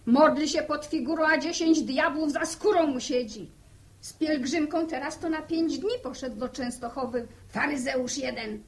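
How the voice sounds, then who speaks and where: A woman's voice: sits high, moderate pace. An actress playing a worried, bitter village mother in an old radio drama, lamenting in rustic phrasing. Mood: bitter, indignant. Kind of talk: 1960s radio drama acting.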